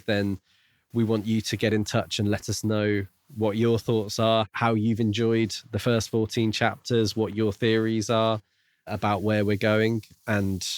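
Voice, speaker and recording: A man co-hosting a podcast, talking casually about a book in measured, hedging phrases. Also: on the low side, no room sound, studio quality. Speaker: podcast co-host.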